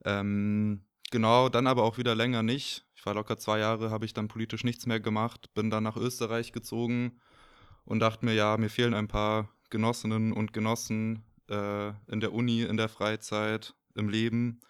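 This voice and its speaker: A man's voice: on the low side, flat delivery, moderate pace, clear, resonant tone, no room sound, studio quality. A man in his twenties from Germany, talking hesitantly with many fillers on a podcast. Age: 20s. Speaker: podcast co-host. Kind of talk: casual podcast chat.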